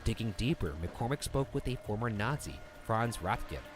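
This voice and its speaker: A man reading a scripted mystery narration in a measured, storytelling delivery. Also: lowish voice, animated, noisy background. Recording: narration over background music.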